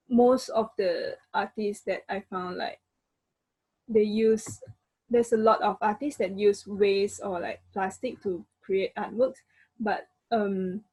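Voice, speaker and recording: A woman's voice: medium pitch, warm tone, breathy edge, no echo, poor audio. A young woman with a Malaysian accent giving an informal, hesitant student presentation over an online call. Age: young adult. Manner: hesitant, halting.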